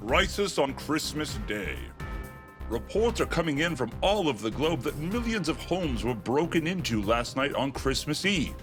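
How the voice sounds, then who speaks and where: A man's voice: a little high, sing-song, normal speed, music in the background. A man playing a grave, emphatic television news anchor delivering a formal bulletin in an audio drama. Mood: grave urgency.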